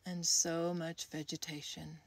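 A woman guides a meditation at a very slow, soothing pace. Her voice is slightly low, soft and airy, with gentle pauses between short phrases. The sound is close and dry. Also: flat delivery, slightly rough, no room sound. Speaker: guided meditation leader, creativity coach.

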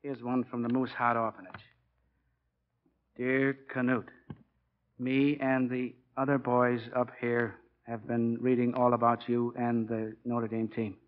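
A man's voice: medium pitch, little pitch movement, measured pace, lightly acted. An actor playing a stubborn, fatherly college football coach in his forties in a 1940s radio drama, speaking plainly and with measure. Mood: fond, amused. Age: 40s. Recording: dull, band-limited 1940s radio recording.

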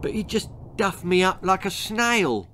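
A man's voice: high-pitched, very animated, a little slow, sharp tone, a little hiss or hum, pitched above the performer's own voice, heavily acted. An English man voicing a scrawny, defiant underdog schoolboy in a storybook, blunt and protesting. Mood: disbelieving.

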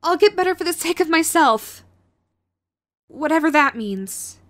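Woman acting a high-pitched, clingy yandere girlfriend. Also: expressive, fairly bright tone, dry recording. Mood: hesitant, earnest. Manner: hesitant, pleading, halting. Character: sweet, clingy, remorseful yandere girlfriend in recovery. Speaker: voice actor. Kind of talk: audio roleplay, intimate addressed to listener.

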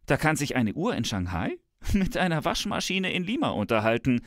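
A man's voice: fairly high pitch, very animated, moderate pace, fairly bright tone, no echo, studio quality. A man playing a smug, tech-enthusiast professor in a scripted comic dialogue, speaking emphatically and theatrically. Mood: smug, enthusiastic. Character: smug male professor, enthusiastic believer in technology. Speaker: podcast co-host in a comic professor role. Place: radio studio. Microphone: studio microphone.

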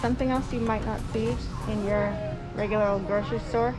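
A woman's voice: medium pitch, steady tone, a little fast. A woman in her thirties giving an enthusiastic, chatty vlog tour of a large grocery store, talking to a handheld camera. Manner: enthusiastic, chatty, lots of "ooh" and "like". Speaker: vlogger. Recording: background music over store audio.

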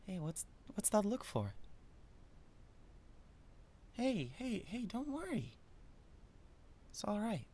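A man playing an excitable, doting devil in a roleplay audio, stammering and gushing with awkward, playful affection. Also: sits high, slow pace, long pauses, breathy edge, full character voice. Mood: tender, awkward. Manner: stammering, gushing, swings from gentle to manic.

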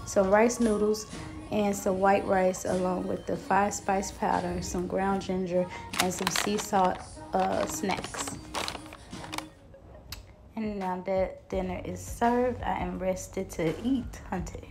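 A woman's voice: medium pitch, flat delivery, slow, creaky. A young woman vlogging at home as a busy mom, chatting offhand through her grocery haul with music playing under her voice. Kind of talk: casual home vlog voice-over. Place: home kitchen, indoors.

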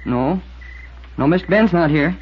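An actor playing a nervous, evasive, simple farmhand in 1950s radio drama, stammering and repeating himself. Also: male voice, fairly high pitch, animated, unhurried, breathy edge. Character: jumpy, evasive male farm handyman, simple and defensive. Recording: dull, noisy 1950s radio recording.

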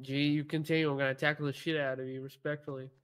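A man with a moderately high-pitched voice plays a police officer. He talks casually, improvising as part of a game roleplay. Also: level tone, fast talker, fairly bright tone, clean tone.

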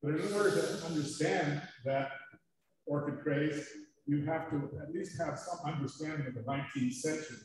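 A man giving a lecture at a society meeting, talking haltingly with many fillers. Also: a little high. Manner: halting, many ums and uhs. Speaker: guest speaker. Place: large meeting room. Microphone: distant room mic. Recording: echoey room from far off, faint noise.

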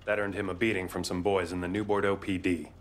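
An actor playing a laid-back American marshal in a video game, folksy, profane and joking. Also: male voice, deep voice, flat delivery, fast. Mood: wry briefing. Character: laid-back wry male bail marshal handing out bounties.

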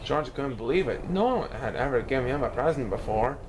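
A man reads a children's storybook aloud in an animated storytelling manner, now and then stumbling over a word. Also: mid-range voice, fairly quick, noisy background. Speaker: storybook reader.

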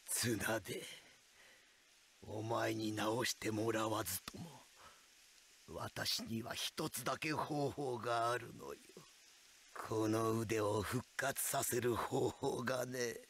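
Casting: a low, drawling serpentine villain, cold and mocking. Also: male voice, fairly even intonation, fairly bright tone, slight background noise. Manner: silky, slow, feminine-toned taunting. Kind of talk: game cutscene voice acting.

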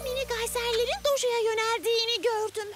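A woman's voice: very high voice, fairly even intonation, brisk, soft background music. A woman voicing an earnest, determined eight-year-old girl heroine in a children's cartoon dub.

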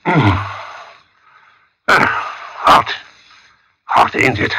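Slow, puzzled tombstone carver. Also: male voice, mid-range voice, sing-song, creaky, pushed and bright, slightly rough, exaggerated voice. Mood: plain, weary. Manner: hesitant, halting, muttering interjections. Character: simple, puzzled working-class male tombstone carver. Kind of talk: 1940s radio suspense acting. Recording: old radio recording with music behind.

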